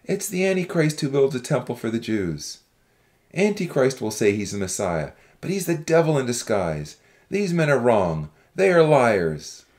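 A man's voice: medium pitch, very animated, normal speed, darkish tone, lightly acted. A man voicing a fervent, suspicious young religious heckler in a dramatized audiobook, accusing and declamatory. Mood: accusing, insistent.

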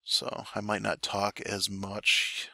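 A man commentating a strategy game war replay in a relaxed play-by-play, his voice hoarse and raspy today, with fillers and occasional coughs. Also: on the low side, takes it slowly, no room sound. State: voice getting raspy. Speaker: clan war recap commentator. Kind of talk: gameplay commentary.

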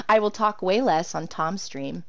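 A woman's voice: medium pitch, huge pitch swings, slow pace, very noisy. A woman streaming a game and chatting casually with her viewers, laughing often as she answers them. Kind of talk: casual live-stream chat while gaming.

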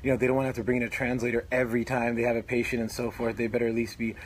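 A man's voice: medium pitch, little pitch movement, rapid-fire, a little hiss or hum, low-quality recording. A young man hosting an interview outdoors, talking in a loose, chatty way with fillers. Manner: chatty, laughs along, fillers. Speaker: show host. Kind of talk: casual interview chat. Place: outdoors under a tree.

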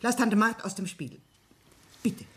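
An actress playing the anxious, pleading middle-aged wife of a rich industrialist in a radio drama. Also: female voice, medium pitch, unhurried, close to an everyday voice. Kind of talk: German radio drama acting.

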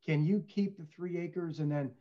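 A man's voice: on the high side, steady tone, normal speed. A man in his fifties discussing business casually as a panelist on a show, over a video call. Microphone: headset on video call. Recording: dull, lo-fi video call audio.

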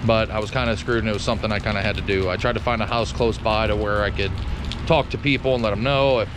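A man's voice: on the low side, fairly even intonation, quick pace. A man on a motorcycle trip, vlogging casually from a roadside fuel stop. He rambles in an easygoing, folksy way. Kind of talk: casual spoken road vlog. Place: outdoors at a roadside fuel stop in pouring rain. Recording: rain and traffic noise behind.

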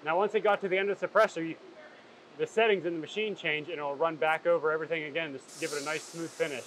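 A man's voice: fairly high pitch, steady tone, quick pace, a bit bright, poor audio. A man in his thirties explaining technical work casually and plainly, as a welding technician would. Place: industrial workshop, seated at machine. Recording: background noise in workshop.

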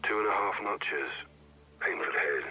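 Low-voiced, clipped special forces captain. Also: male voice, lively intonation, normal speed, pushed and bright, slight background noise, heavily acted, low-quality recording. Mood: dry, focused. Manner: clipped, dry, commanding. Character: seasoned special forces captain, sniper, calm and wry. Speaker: squad leader. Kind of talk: video game military dialogue, terse radio callouts.

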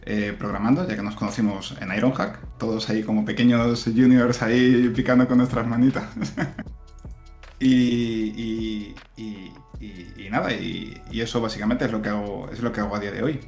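Relaxed man on a video call, echoey room. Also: on the low side, moderate pace. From southern Spain. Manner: relaxed, chuckling, many fillers and restarts. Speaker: guest software engineer and teacher. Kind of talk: casual interview chat. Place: room at home. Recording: echoey room, faint music.

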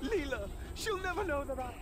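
A man playing an eager, adventurous young desert companion in a video game, with a dramatic, expressive delivery. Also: extremely high pitch, moderate pace. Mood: panicked. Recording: game music behind the voice. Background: background music.

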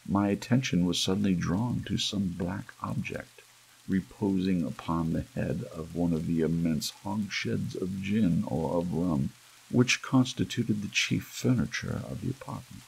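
Deep, gravelly man narrating a gothic tale. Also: unhurried, poor audio. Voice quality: gravelly, husky. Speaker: audiobook narrator. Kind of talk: scripted gothic story narration, ASMR. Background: soft rain.

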